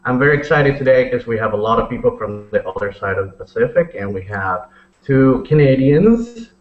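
A man in his forties opens a web show over a webcam, excited and joking as he welcomes people. The sound is dull and roomy, as if the mic sits far back in a bare room. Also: medium pitch, fairly quick. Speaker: show host. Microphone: webcam video call. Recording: muffled roomy webcam audio.

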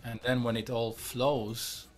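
A man from Argentina who grew up in Israel, a composer talking in a casual video-call interview, reflective and halting with many restarts. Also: mid-range voice, flat delivery, soft background music. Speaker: composer and musician, guest. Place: home room, indoors.

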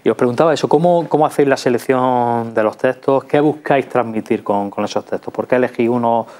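A man's voice: medium pitch, fairly quick, darkish tone. A man in his thirties with an accent from Spain, hosting a studio interview in a conversational way, on a lapel mic. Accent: Spanish from Spain. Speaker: TV culture show host. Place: small TV studio.

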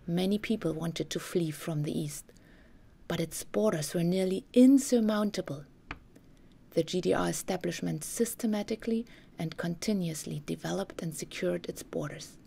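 A woman narrates a scripted documentary story. Her voice sits low with plenty of fry, and her delivery is measured and careful. There is faint noise behind her. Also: dark tone.

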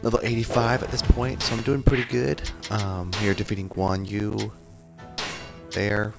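A man doing casual game walkthrough commentary, speaking quietly so as not to wake someone, with game audio behind him. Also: fairly low pitch, flat delivery, measured pace, gravelly. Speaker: gaming streamer. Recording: game music under the voice. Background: video game music and sounds.

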